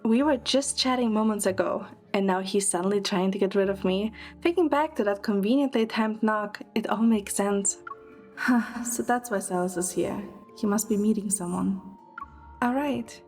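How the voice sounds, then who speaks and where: A woman's voice: mid-range voice, moderate pace, darkish tone, soft background music. A woman performing scripted first-person narration and lead dialogue in an audio drama.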